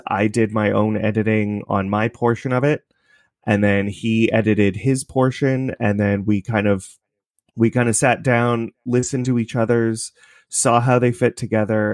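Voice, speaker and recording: A man's voice: lowish voice, steady tone, unhurried, dry recording, studio quality. A man talking casually as a podcast guest, explaining things in a rambling, self-correcting way. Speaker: podcast creator, interview guest. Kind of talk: casual interview chat.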